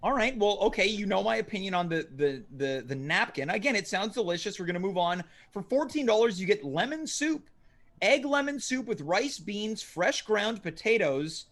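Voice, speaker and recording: A male host with a notably high voice reads out a menu and questions his guest. He keeps a dry, mock-serious tone. It is a casual comedy show. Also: lively intonation, normal speed, a bit bright. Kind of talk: comedic interview.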